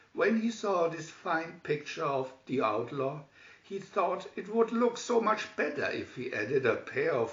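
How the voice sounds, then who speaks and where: A man reading a children's story aloud in an expressive, theatrical manner, as a scripted narration. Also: medium pitch. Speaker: storybook reader. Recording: echoey room, distant dull microphone.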